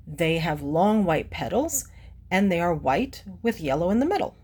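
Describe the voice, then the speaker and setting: A woman's voice: fairly low pitch, big pitch swings, normal speed, a little mellow, slight background noise. A woman reading a picture book aloud to children, adding simple teacherly explanations and putting questions to the listener. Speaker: children's read-aloud presenter.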